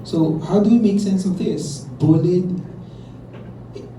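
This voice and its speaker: A man with a Filipino accent runs a workshop, switching between English and local phrases as he asks the class questions. His voice sits a little high, heard from a distance in an echoey room with steady traffic noise behind him. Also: takes it slowly. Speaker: workshop facilitator. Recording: roomy, distant, noisy room recording.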